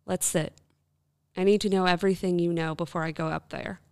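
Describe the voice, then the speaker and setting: A woman playing a reassuring demon hunter in a radio play, gentle and assured with a folksy touch. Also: lowish voice, fairly even intonation, fairly quick, creaky, smooth, no room sound, clean studio sound. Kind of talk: scripted radio play acting.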